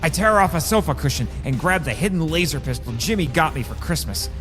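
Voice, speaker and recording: A man with a theatrical, excitable delivery, acting and narrating a scripted first-person drama. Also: on the high side, fairly quick, music in the background. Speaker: host and narrator of a fiction podcast.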